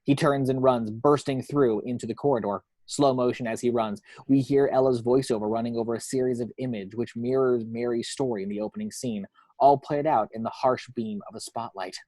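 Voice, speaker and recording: Guy reading screenplay action lines, mid pitched voice, really dry sound with no room echo. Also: fairly even intonation, fairly quick, a little mellow. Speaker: reader of action descriptions. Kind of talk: scripted screenplay narration. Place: at home.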